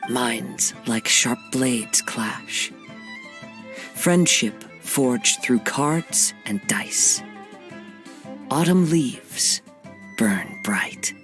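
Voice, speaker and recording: A man voicing a calm, humble young samurai poet who speaks softly and politely, in a video game cutscene. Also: mid-range voice. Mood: solemn. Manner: soft-spoken, polite, humble. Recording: game audio with music behind.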